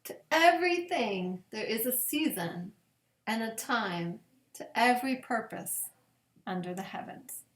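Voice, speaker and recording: A woman with a slightly high voice reads a bedtime story as a pastor would to children. She goes very slowly, phrase by phrase, leaving long pauses. The room around her adds a clear echo. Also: lively intonation, a bit bright. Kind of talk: gentle read-aloud of scripture picture books. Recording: echoey room.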